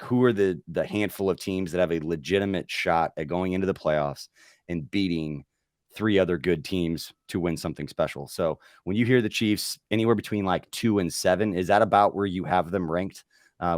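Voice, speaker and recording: A man's voice: low-pitched, brisk, dry recording, clean studio sound. A man hosting a casual sports podcast, losing his voice and rambling with lots of fillers. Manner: rambling, chatty with filler words. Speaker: host.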